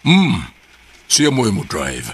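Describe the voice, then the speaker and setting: A man's voice: lowish voice, wildly swooping pitch, slow pace, husky, exaggerated voice. A Filipino man playing an affectionate, hesitant, murmuring father in a radio drama.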